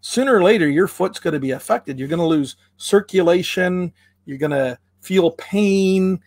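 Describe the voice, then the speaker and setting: A man from Canada explaining tree care in a casual chat, relaxed and full of fillers and restarts, with playful comparisons. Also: high-pitched, moderate pace, dry recording, clean studio sound. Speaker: tree care expert guest.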